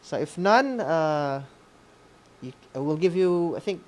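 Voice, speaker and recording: A man's voice: fairly high pitch, expressive, takes it slowly, a little mellow. A man with a Filipino accent giving an informal, hesitant instructional walkthrough, often checking in with a tag question. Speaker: instructor leading an online session.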